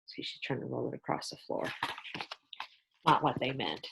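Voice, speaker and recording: A woman's voice: rumbling low, animated, moderate pace, creaky, background noise. A woman teacher reading a children's storybook aloud, with explanatory asides to young listeners.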